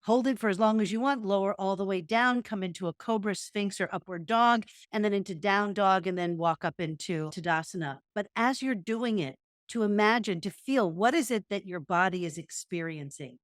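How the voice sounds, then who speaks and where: A woman's voice: mid-range voice, normal speed, no room sound, studio quality. A woman co-hosting a casual podcast, musing in a meandering way and guiding yoga movements. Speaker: podcast co-host, yoga teacher. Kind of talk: casual reflective conversation.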